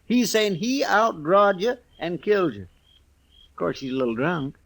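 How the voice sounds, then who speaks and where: Creaky, folksy western deputy. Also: male voice, high voice, big pitch swings, unhurried, fairly bright tone, heavily acted. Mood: earnest. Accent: rural American. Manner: hesitant, folksy, deferential. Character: earnest, flustered folksy deputy sidekick. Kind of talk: old-time radio western acting. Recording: vintage 1950s radio recording.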